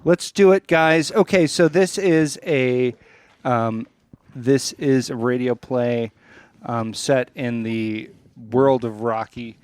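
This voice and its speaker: Man, mid-range voice, dry close recording, casual co-host chat, halting, thinks aloud, repeats words. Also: medium pitch, clean tone, no echo. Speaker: podcast co-host introducing a radio play.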